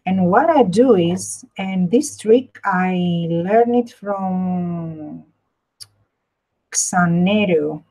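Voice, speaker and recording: A woman's voice: fairly low pitch, extremely slow, dark tone, low-quality recording. A woman with a Greek accent hosting a casual live craft demonstration, repeating and rephrasing herself as she explains and chats. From Greece. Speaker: craft tutorial host.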